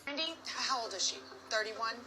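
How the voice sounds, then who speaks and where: A woman's voice: fairly high pitch, lively intonation, normal speed, music in the background. A woman in her forties on a reality show, arguing in an emphatic, defensive, blunt way. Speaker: reality TV cast member. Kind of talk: heated reality TV argument.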